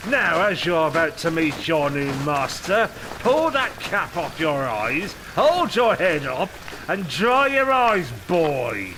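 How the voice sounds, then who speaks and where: Bullying middle-aged beadle, pompous, slow, high-pitched, audio drama. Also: male voice, sing-song, noisy background, exaggerated voice. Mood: officious. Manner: pompous, barking.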